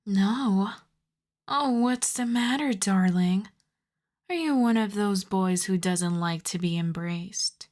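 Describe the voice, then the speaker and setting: A woman playing a teasing, imperious older vampire countess in an ASMR roleplay, speaking deliberately and theatrically, with touches of breathy whisper. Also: medium pitch, natural voice.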